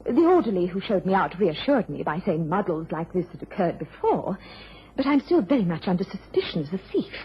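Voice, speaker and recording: A woman's voice: lowish voice, very animated, a little fast, slight background noise. A woman playing a polite, composed lady journalist in a period radio drama, speaking formally and earnestly. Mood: earnest recounting. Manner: well-mannered, formal, earnest. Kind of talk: British period radio drama acting and narration. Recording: muffled old radio recording.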